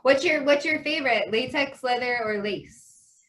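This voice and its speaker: A woman's voice: high voice, measured pace, a bit bright. An American woman interviewing a guest with enthusiasm and frequent laughter. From United States. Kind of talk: casual interview chat.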